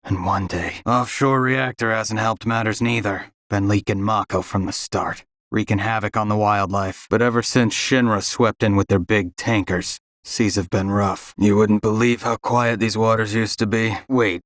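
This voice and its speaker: A weathered old seaman from a video game , acted by a man, speaks in a low voice. He is folksy and wary, as if forever warning of trouble. The recording is close and dry. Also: fairly even intonation, moderate pace, hardly any pauses, a bit bright, no room sound, close to an everyday voice. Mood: grim, wary. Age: older. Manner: folksy, clipped, dropped-subject phrasing. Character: weathered old male sea salt, wary and foreboding. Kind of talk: video game character dialogue.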